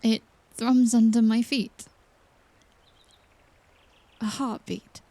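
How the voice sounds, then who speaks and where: A woman acting in an audio drama as an earnest young princess in training, speaking with hesitant wonder and deliberate phrasing. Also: on the high side, level tone, warm tone, dry recording, exaggerated voice. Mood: wondering, focused. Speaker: voice actor.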